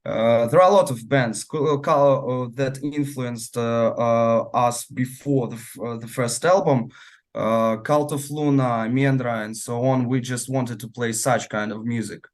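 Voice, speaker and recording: Male musician, slow and halting in interview, mid-pitched. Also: steady tone. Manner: hesitant, many fillers and restarts. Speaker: band musician.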